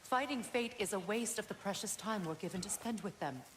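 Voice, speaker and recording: An actress playing a proud, grieving Norse goddess in a video game cutscene, with an intense, reproachful delivery. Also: female voice, medium pitch, fairly quick, slight background noise, close to an everyday voice. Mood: bitter, reproachful. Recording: music under the voice.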